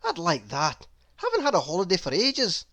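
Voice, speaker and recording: A woman voicing a hurried, restless man from a children's storybook, with a rushed, exclaiming delivery. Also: on the low side, huge pitch swings, a bit bright, heavily acted. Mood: wistful.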